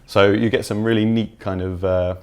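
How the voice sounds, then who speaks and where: Low-pitched man explaining in casual chat. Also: fairly even intonation, moderate pace, a little mellow. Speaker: game developer being interviewed.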